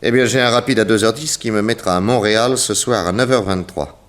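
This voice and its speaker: A man's voice: lowish voice, fairly bright tone, natural voice. A man playing a shrewd, composed and courteous detective in a 1960s French radio drama. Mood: authoritative. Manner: measured, polite, authoritative. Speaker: actor in a radio crime drama.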